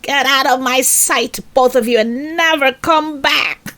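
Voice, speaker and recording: A woman's voice: high voice, a little slow, bright, forceful tone, pitched above the performer's own voice. A woman voicing a wicked, furious male landlord in a storybook, blustering with indignation. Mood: enraged.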